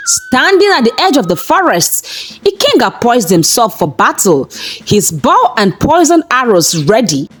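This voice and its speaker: A woman storyteller reading a scripted folktale in a measured, dramatic manner. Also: fairly high pitch, very animated. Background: background music.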